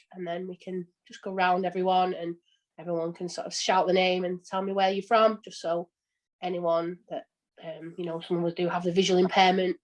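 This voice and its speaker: A woman facilitating an online support group call, talking in a friendly, informal way with hesitant fillers. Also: on the low side. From England. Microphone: video call.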